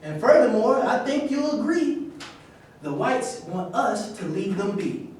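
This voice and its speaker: A man's voice: high-pitched, big pitch swings, unhurried, darkish tone. A man acting in a staged reading, delivering rhyming dialogue. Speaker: actor in a staged play reading. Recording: echoey room, distant mic, faint noise.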